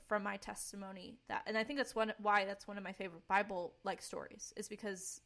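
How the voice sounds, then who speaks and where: A woman's voice: mid-range voice, fairly even intonation, fairly quick, fairly bright tone. A young woman co-hosting a podcast, talking at length in a casual, emphatic way with a commanding voice. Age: young adult. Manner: talkative, informal, emphatic. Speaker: podcast co-host. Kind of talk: casual personal testimony and chat.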